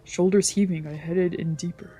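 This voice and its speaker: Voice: medium pitch, fairly even intonation, moderate pace, mellow. A streamer reading a visual novel aloud as brooding first-person dramatic narration, with music behind. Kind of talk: dramatic narration with character voices.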